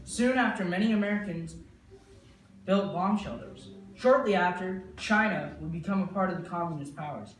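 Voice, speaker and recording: A man's voice: high voice, normal speed. A young man presenting a mock 1950s newscast as its anchor, with a hammy, folksy delivery. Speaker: news anchor. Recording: echoey room with music behind.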